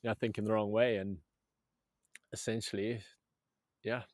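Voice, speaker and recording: A man's voice: lowish voice, little pitch movement, normal speed, dry recording. Earnest rambling man, dry sound. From Zambia. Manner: earnest, rambling, many restarts, keeps saying "man". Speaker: movement coach guest. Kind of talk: casual podcast conversation.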